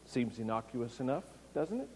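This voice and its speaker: A man's voice: medium pitch, very animated, moderate pace, a little mellow, breathy edge, in a large hall. A man preaching a sermon, explaining scripture and raising his voice when quoting. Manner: expository preaching, raises voice for quoted lines. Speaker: pastor.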